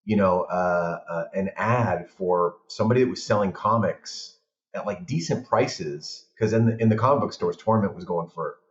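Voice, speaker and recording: Low-voiced man telling a rambling story. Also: moderate pace. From Portugal. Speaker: podcast guest, film director. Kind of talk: casual chat. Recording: roomy, muffled sound.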